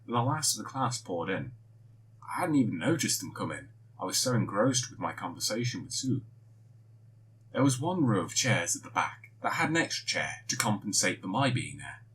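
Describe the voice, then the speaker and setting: Give me a man with a low, animated voice reading a story expressively. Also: slight background noise, low-quality recording. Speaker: fan fiction reader. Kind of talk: reading a first-person story aloud.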